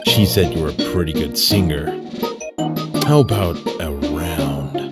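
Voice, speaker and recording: Very deep, smug young man, played by a woman. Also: animated, a little slow. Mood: goading. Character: smug, rude young man goading others. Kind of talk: fan game cutscene voice acting. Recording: loud music over the voice.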